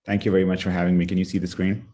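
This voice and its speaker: A man from Pakistan, a pathologist, giving a relaxed online talk over a video call, with many "you know" and "kind of" fillers. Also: deep voice, rapid-fire. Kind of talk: online lecture with slides.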